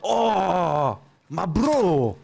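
A young French man with a French accent, chatting excitedly while streaming a game, mixing French and broken English. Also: high-pitched, extremely slow, gravelly, soft background music. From France. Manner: animated, shouty, mixes French and broken English. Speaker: gaming streamer. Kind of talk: excited gameplay chat with a stranger. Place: home gaming room. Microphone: headset mic.

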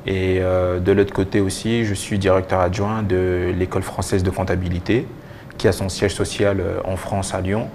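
A young man giving halting answers with repeated words as a guest in a TV interview. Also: sits low, little pitch movement, darkish tone, a little hiss or hum. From DR Congo and Belgium. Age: young adult. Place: TV studio. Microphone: lapel mic.

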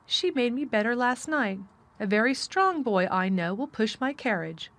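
A woman's voice: a little high, lively intonation, moderate pace, fairly bright tone, pitched above the performer's own voice. Spoiled storybook boy, lordly as a young prince, declaring everything grandly; female narrator acts him. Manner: haughty, magnificent, declarative.

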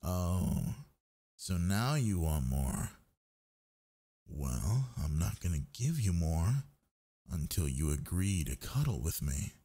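Needy, teasing boyfriend for intimate audio roleplay, male actor: slow, mellow, breathy, murmured coaxing. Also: sits low, very animated, exaggerated voice. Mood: teasing, tender. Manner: coaxing, murmuring, drawn-out words. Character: sleepy, needy, teasing gamer boyfriend, young man.

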